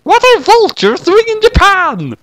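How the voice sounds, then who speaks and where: A narrator voicing a brash, weepy girl magical fighter in a very high squeaky falsetto, given to dramatic confessions in a parody story. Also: huge pitch swings, a little slow, fairly bright tone, no room sound, clean studio sound, heavily acted. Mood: puzzled.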